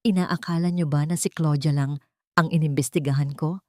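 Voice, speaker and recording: A woman's voice: low-pitched, fairly even intonation, brisk, warm tone, no echo, studio quality, lightly acted. A woman voicing a wealthy young father who speaks coldly and firmly to protect his family. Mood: threatening. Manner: cold, firm, threatening.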